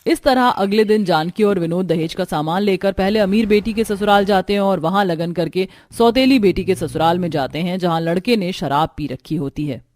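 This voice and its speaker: A woman's voice: lowish voice, normal speed, few pauses, a little mellow, dry recording. A woman narrator reading a scripted family story for a cartoon.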